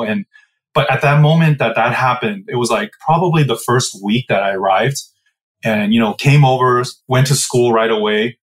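A man's voice: medium pitch, low-quality recording. A man in his forties from the Midwest, telling his story in an interview with a reflective, meandering manner full of fillers. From Midwestern United States. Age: 40s. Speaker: guest, business co-founder. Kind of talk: casual interview answers.

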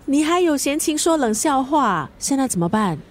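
An actress playing a blunt, bossy, complaining drinking buddy in a radio drama, with a Singaporean accent. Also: female voice, high voice, very animated, moderate pace. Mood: exasperated. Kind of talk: Mandarin radio drama acting.

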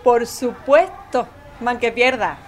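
A woman's voice: fairly high pitch, lively intonation, slow pace, slight background noise. A woman playing a cheerful, chatty football-fan neighbour in an audio drama, effusive and folksy, with an Andalusian accent. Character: chatty, cheerful office-worker mother, keen football fan. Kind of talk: conversational audio fiction acting.

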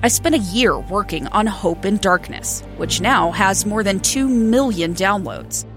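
A woman presenting a promotional spot for her podcast in a clear announcer read. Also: mid-range voice, animated, a little slow. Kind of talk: radio promo. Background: soft music.

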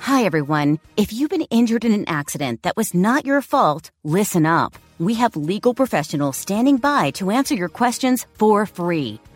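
A woman's voice: mid-range voice, big pitch swings, normal speed, few pauses, faint music behind. A woman announcer delivering a direct-response radio advertisement, addressing the listener directly.

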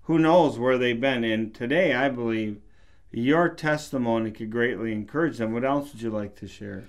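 A man's voice: mid-range voice, moderate pace. A male pastor hosting a radio program, asking his guest encouraging, guiding questions. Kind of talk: radio interview.

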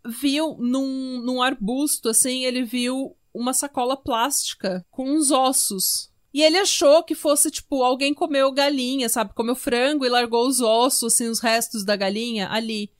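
A woman's voice: sits high, moderate pace. A woman with a Brazilian Portuguese accent hosting a podcast, telling a true-crime story casually and conversationally with many asides. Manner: informal, conversational, full of asides. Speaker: podcast host.

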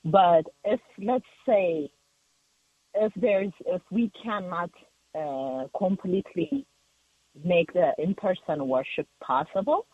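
A man's voice: high-pitched, expressive, slow, husky, no echo. A man from Ethiopia with an Ethiopian accent, calling in to a radio talk show and speaking hesitantly with many fillers. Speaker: caller. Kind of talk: casual call-in chat. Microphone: telephone. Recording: tinny phone line.

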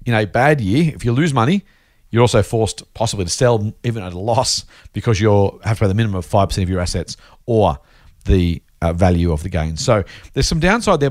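A man's voice: medium pitch, a little mellow, no echo, clean studio sound. A man with an Australian accent hosting a podcast, chatty and matey as he talks through listener mail in a casual style. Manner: chatty, addresses others as mate. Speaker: podcast host. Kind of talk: casual listener mailbag discussion.

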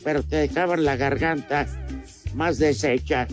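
Male radio host, fairly high and unhurried, mock-serious joker over a light music bed. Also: steady tone. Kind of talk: joking casual chat.

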